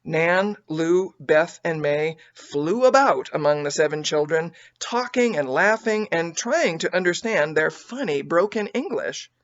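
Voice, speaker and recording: A woman reading a children's story aloud as an expressive audiobook narrator. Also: lowish voice, measured pace, few pauses, muffled recording. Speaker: audiobook reader.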